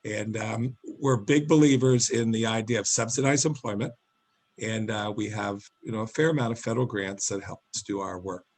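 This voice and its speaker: A man with a raspy voice today, introducing himself in a friendly, joking way on a webinar panel over video call. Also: lowish voice, fairly even intonation, moderate pace. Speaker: panelist, enterprise founder.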